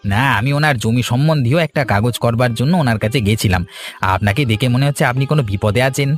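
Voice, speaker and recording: A mid-pitched, smooth-talking cartoon swindler, played by a man. He is a greedy village trader, glib and scheming, with music underneath. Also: brisk, natural voice. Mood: persuasive. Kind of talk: cartoon fairy-tale dialogue. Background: background music.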